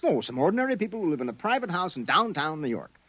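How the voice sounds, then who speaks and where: An actor in a 1950s radio drama playing a skeptical, pragmatic private detective with a wry, casual manner. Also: male voice, a little high, very animated, fast, a bit bright, dry recording. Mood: doubtful, agreeable. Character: skeptical, pragmatic New York private detective, male boss.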